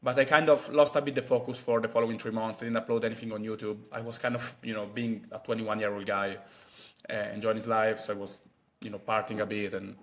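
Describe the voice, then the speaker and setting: A man's voice: fairly low pitch, flat delivery, fast talker. A man, chatting casually to camera as a vlogger with many fillers and asides. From Italy. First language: Italian. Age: 20s.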